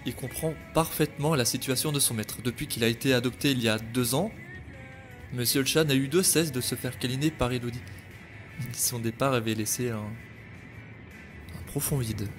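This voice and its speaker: A man's voice: medium pitch, moderate pace, over music. A man narrating a podcast story in a wry, storytelling manner.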